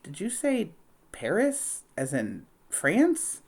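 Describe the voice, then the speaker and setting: A woman voicing an excitable, pleading young boy in a storybook read-aloud. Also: on the low side, takes it slowly, breathy edge, voice pushed up, heavily acted. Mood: incredulous.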